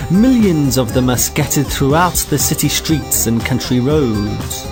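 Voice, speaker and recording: A man narrating as a deadpan galactic guidebook in a scripted sci-fi comedy, measured and explanatory. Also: mid-range voice, close to an everyday voice.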